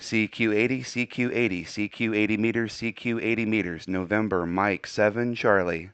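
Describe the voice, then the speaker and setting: A man's voice: fairly low pitch, fairly even intonation, normal speed, fairly bright tone. An American man working as an amateur radio operator, speaking courteously in a casual on-air radio style. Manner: courteous, spells with phonetic alphabet.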